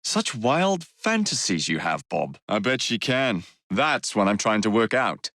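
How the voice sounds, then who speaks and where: An actor playing a cocky, roguish young Victorian gang leader in a video game, with an English accent and a cheeky, theatrical, teasing way of speaking. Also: male voice, fairly low pitch, big pitch swings, unhurried, a bit bright, dry recording, studio quality, full character voice. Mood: wry, casual. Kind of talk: dramatic video game dialogue lines.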